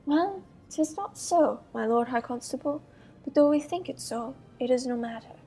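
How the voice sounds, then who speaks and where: A woman playing a proud, confident young French prince in measured, haughty Shakespearean radio theater. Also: high voice, lively intonation, dark tone. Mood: cool, confident.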